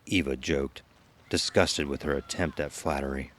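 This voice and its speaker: A man's voice: extremely low pitch, steady tone, normal speed, a bit bright. A man giving scripted narration of a horror story as its narrator. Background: music.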